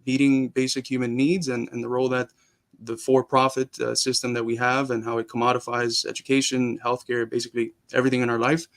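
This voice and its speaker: A man's voice: medium pitch, flat delivery, a little fast. Earnest young man from Cuba. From Cuba, raised in Miami. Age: young adult. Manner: earnest, frequent restarts and fillers. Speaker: guest. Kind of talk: casual interview conversation.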